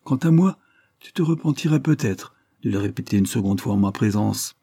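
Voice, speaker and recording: A man's voice: fairly low pitch, animated, moderate pace, gravelly, dark tone, breathy edge, no room sound, clean studio sound, exaggerated voice. A man voicing a ferocious revolutionary official in an audiobook, ironic, contemptuous and declamatory. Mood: threatening.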